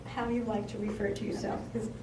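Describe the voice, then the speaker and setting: A woman moderating a panel discussion in a meeting room, chatty and relaxed with fillers and little laughs. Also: mid-range voice, a little fast, noisy background. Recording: echoey room, far from the mic.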